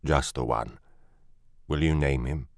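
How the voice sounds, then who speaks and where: A patient father mouse from a storybook, played by a male reader. His voice sits fairly low and dry, with a quiet, subdued, matter-of-fact delivery. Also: moderate pace, dry recording, natural voice.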